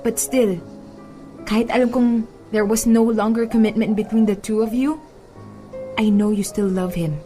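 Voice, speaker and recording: A woman with a Filipino accent playing a sincere, steadfast young girlfriend in a radio drama, speaking in a measured, earnest way. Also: a little high, fairly even intonation, dark tone, lightly acted. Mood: sorry but resolute. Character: sincere, steadfast young new girlfriend standing her ground. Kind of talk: radio drama acting in mixed Tagalog and English. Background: music.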